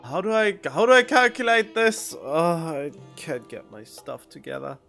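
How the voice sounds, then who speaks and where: A man's voice: on the high side, animated, normal speed, gravelly, fairly bright tone. Man thinking aloud as a game streamer. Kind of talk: casual reaction commentary, thinking aloud. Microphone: close studio condenser microphone. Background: game music with plucked guitar.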